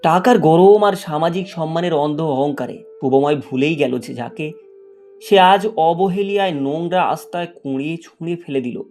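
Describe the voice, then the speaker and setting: A man's voice: on the high side, brisk, darkish tone, faint music behind. A man narrating a cartoon moral story in a dramatic, scripted storytelling style.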